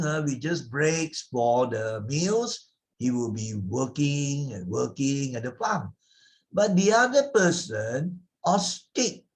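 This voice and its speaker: A man with a Malaysian accent telling a story as a teacher, explaining as he goes and often checking with little tag questions. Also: on the high side. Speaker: teacher narrating a moral story.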